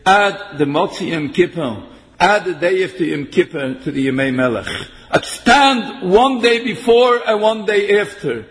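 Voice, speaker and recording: A man's voice: high-pitched, animated, measured pace. A man giving a sermon, mixing in Hebrew terms and half-chanting verses. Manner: English mixed with Yiddish and Hebrew terms, chanting some verses. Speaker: rabbi giving a Torah talk. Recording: long hall reverb.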